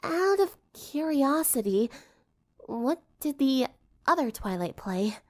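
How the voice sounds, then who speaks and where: Casting: shy, awkward bookish teen girl acted by a woman, murmured and halting, lifted pitch, curious temper. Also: sing-song, a little mellow, full character voice. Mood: shy, hesitant. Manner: stammering, hesitant, murmuring.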